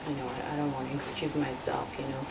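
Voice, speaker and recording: A woman's voice: very deep voice, little pitch movement, fast talker, creaky. A woman from Tunisia, born in France, answering interview questions about her assembly work, hesitant with many fillers and restarts. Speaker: constituent assembly member, guest. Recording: distant mic, noisy room ambience.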